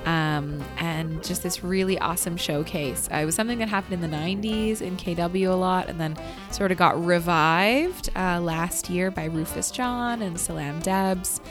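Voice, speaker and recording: A woman's voice: fairly low pitch, normal speed, few pauses, music in the background. A woman hosting a casual live radio interview in a conversational, upbeat way. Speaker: radio show host. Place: church, live broadcast.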